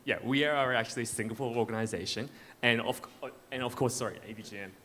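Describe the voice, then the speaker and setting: A man's voice: medium pitch, fairly even intonation, quick pace, fairly bright tone. A man on a conference panel answering an audience question in an informal way. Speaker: panelist representing a blockchain foundation. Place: large event space at a conference. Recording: echoey noisy hall, event PA.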